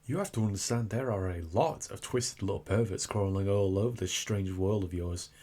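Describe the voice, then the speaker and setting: A man's voice: fairly low pitch, normal speed, warm tone, natural voice. A man playing a suave, teasing incubus demon in a scripted ASMR roleplay, with theatrical delivery. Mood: apologetic, sympathetic. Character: seductive, cocky male incubus demon turning flustered and tender.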